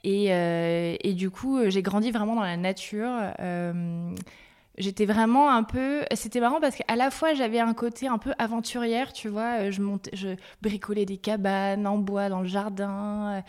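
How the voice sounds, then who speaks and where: Invitée femme, française, ton franc et décousu, nombreuses hésitations. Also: female voice, mid-range voice, moderate pace, a little mellow, clear, resonant tone. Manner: candid, rambling, self-mocking. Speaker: guest, brand founder. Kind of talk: casual interview chat.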